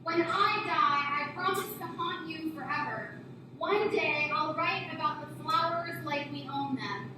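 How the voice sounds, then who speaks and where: A young woman with a very high voice reads verse in a soft, measured way. She sounds far from the microphone in a very echoey room, with heavy background noise all around. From United States. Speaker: poetry reader at a public reading. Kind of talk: poetry reading at an open mic. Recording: echoey distant recording, loud background noise.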